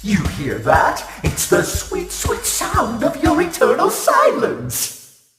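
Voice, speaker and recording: A bossy robot diva commands in slow, theatrical swoops. Her voice has a gravelly edge. It sits in echo over music. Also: sits high, wildly swooping pitch, fairly bright tone, full character voice. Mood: commanding. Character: bossy female robot singer.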